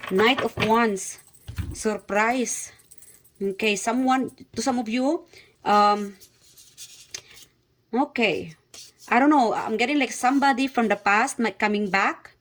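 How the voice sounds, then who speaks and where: A woman with a Filipino accent hosts a tarot reading, talking straight to her audience. Her voice sits at an everyday middle pitch. She speaks haltingly, with frequent fillers and repeated phrases. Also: a little hiss or hum. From Philippines. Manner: hesitant, many fillers and repeats, speaks directly to viewers. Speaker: tarot reader. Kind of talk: casual tarot reading to camera.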